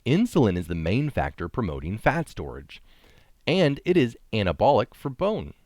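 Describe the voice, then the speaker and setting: A man's voice: lowish voice, wildly swooping pitch, moderate pace, darkish tone, no echo, studio quality. A man reading an article aloud with casual asides, speaking emphatically and posing rhetorical questions. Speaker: reader of articles.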